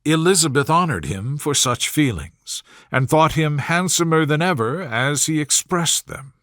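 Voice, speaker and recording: A man narrating a classic sleep story from a script in a soothing, measured manner. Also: on the high side, lively intonation, fairly bright tone, no echo, clean studio sound. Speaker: audiobook narrator.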